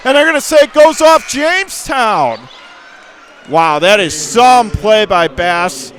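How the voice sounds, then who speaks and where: A man doing live radio play-by-play of a basketball game in clipped running phrases, with excitable calls. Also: on the high side. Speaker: play-by-play commentator.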